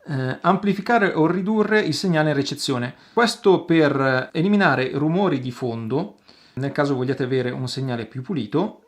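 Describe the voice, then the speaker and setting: A man's voice: fairly high pitch, no room sound. Man explaining a product step by step. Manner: explanatory, step-by-step, with hesitant pauses. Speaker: product reviewer. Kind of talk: informal product review to camera.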